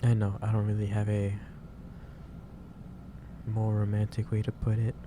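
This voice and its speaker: Male, low-pitched, gentle boss roleplay in ASMR style, halting advisory delivery, over clearly noisy ambience. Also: monotone. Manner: hesitant, halting, pausing mid-sentence, gently advising. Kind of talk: soft ASMR roleplay, improvised monologue to the listener.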